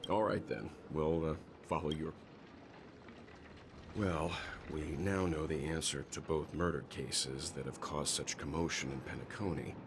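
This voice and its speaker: Deep, measured, courteous investigator. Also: male voice, steady tone, background noise. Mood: measured, pensive. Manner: measured, thoughtful, formal. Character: calm, courteous middle-aged male investigator, measured and grave. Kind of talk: video game voice acting.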